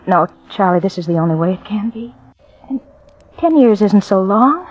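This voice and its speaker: An actress playing a devoted young wife in a prison cell, tender and pleading, in 1940s radio acting on an old dull recording. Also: female voice, medium pitch, expressive, normal speed, darkish tone, lightly acted.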